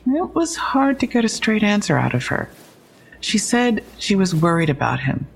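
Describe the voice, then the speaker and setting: Creaky-voiced, wry police detective. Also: female voice, medium pitch, big pitch swings, normal speed, full character voice. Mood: matter-of-fact, wry. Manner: conversational briefing, wry asides. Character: matter-of-fact young female police detective, dryly wry. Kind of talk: audio drama dialogue.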